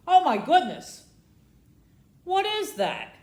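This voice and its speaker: A woman storyteller with a high, widely swooping voice reads to young children. She takes her time, acting up the drama and turning to the listeners with questions. The room has a clear echo. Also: huge pitch swings, slow pace, darkish tone. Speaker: library storytime reader. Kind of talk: expressive children's storybook read-aloud. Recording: echoey room.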